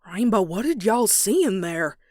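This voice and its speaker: A woman's voice: mid-range voice, big pitch swings, normal speed, dark tone, no room sound, clean studio sound, natural voice. A woman voicing a feisty, folksy cartoon farm pony with a Southern US accent, blunt and fretful. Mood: worried. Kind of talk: cartoon comic dub with scripted narration. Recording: music behind the voice.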